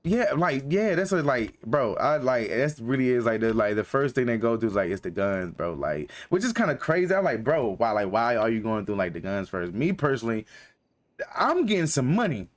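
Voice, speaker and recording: A man's voice: mid-range voice, big pitch swings, moderate pace. Rambling young man streaming a game. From North Carolina. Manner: rambling, filler-heavy, slangy. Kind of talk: casual live-stream chat.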